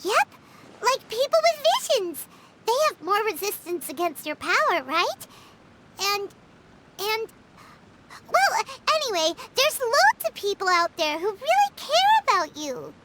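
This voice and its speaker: A woman's voice: very high-pitched, sing-song, measured pace, noisy background, full character voice. A woman voicing a tiny, childlike fairy companion in a video game, earnest and chirpy. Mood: earnest, encouraging. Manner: earnest, childlike, refers to self in third person.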